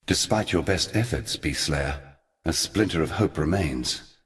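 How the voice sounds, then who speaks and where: A man playing an ancient, forgotten god in a video game, somber and weary, speaking solemnly and deliberately in archaic, portentous phrases. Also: rumbling low, lively intonation, in a large hall. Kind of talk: scripted video game character dialogue.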